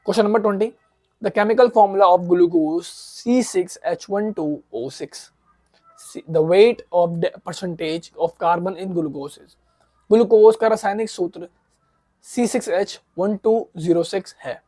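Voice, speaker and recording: A man with a high voice, made hoarse by a sore throat, teaches exam-prep chemistry. He reads each question slowly and then quizzes his listeners, answering his own questions. The sound is dry and close, with no room echo. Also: fairly even intonation, warm tone. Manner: explains in Hindi, reads questions aloud in English, asks and answers his own questions.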